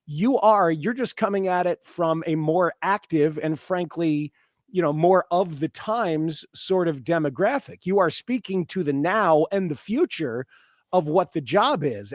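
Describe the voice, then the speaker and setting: An American man speaks as a sports podcast host in casual, wordy commentary. His pitch is a little high for a man, and he lands his points with emphasis. The recording is close and dry. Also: dry recording.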